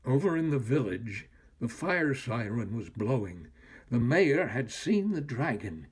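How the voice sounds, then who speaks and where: An older man reading a children's story aloud in an expressive, deliberate, dramatic way. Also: mid-range voice, slightly rough. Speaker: storyteller, actor.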